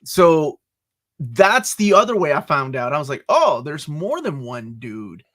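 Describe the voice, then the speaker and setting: A man in his forties from Peru hosting a casual interview, excitable and joking, often jumping up in pitch when animated. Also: measured pace. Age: 40s. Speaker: podcast host.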